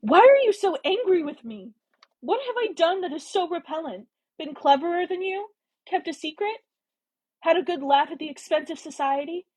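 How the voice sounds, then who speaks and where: A woman voicing a proud, defiant young woman in a Regency romance audiobook, speaking forcefully and with emotion. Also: high voice, expressive, normal speed, slightly rough, pitched above the performer's own voice, low-quality recording. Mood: furious, emotional.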